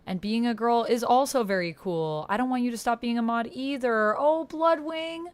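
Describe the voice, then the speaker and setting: A woman's voice: a little high, normal speed, clean tone. A woman playing and hosting a text roleplay, reading out posts and reacting casually and expressively. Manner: expressive, gasping and exclaiming reactions between read passages. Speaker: solo tabletop roleplay player and host.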